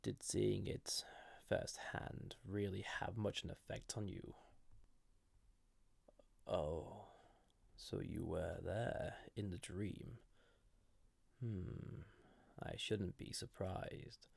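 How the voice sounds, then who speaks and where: Male actor as banished ancient entity for intimate audio roleplay: very gravelly, unhurried, hesitant, flirty with a dangerous edge. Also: deep voice, fairly even intonation, dry recording, heavily acted. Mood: unsettled, probing. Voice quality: gravelly, husky, breathy. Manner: hesitant, softly intimate, deliberate. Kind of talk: scripted audio roleplay addressed to listener.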